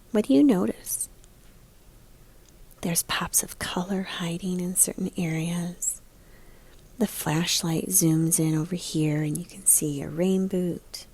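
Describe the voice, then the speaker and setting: Husky, mellow woman teaching young children. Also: fairly low pitch. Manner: guiding, prompts listeners with questions. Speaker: elementary art teacher. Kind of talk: read-aloud picture book lesson for young children.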